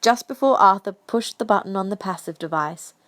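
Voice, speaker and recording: A woman narrates a fan-made audio drama. Her voice sits at an average pitch as she reads the scripted story. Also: animated, fairly quick.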